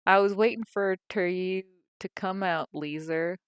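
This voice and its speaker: A woman's voice: medium pitch, unhurried, clear, resonant tone, no echo, studio quality, natural voice. Woman doing a sulky young Cockney man. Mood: reproachful. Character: young working-class man, sulky suitor.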